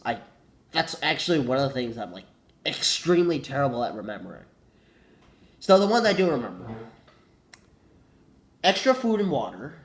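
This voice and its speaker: Congested young man rambling casually. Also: medium pitch. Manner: rambling, self-correcting, says "like" a lot. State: congested with a cold, coughing. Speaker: vlogger.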